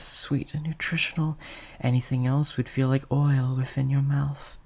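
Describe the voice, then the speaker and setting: A performer voicing a gentle, lovesick, possessive vampire in an intimate audio roleplay, soft and breathy, with formal, hesitant, old-fashioned phrasing. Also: medium pitch. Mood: yearning, reverent. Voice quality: soft, breathy, often dropping toward a whisper.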